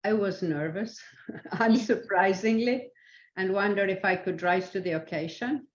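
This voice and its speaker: Older swedish-speaking lady being interviewed, takes her time, halting, echoey muffled recording. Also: mid-range voice. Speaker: guest, senior arbitrator. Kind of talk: interview answers. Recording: roomy echo, dull lo-fi sound.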